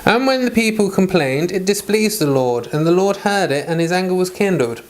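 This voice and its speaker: A medium-pitched voice hosting a homely devotional read-along. The delivery moves between formal scripture reading and informal, chatty talk, as someone reading aloud for themselves and for listeners. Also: lively intonation, moderate pace. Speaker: host reading the Bible aloud.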